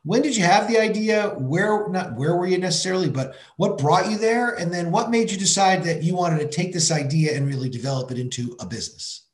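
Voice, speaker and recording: Man, podcast host, friendly, inquisitive, higher voice, roomy echo. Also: a little fast, few pauses. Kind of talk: podcast interview.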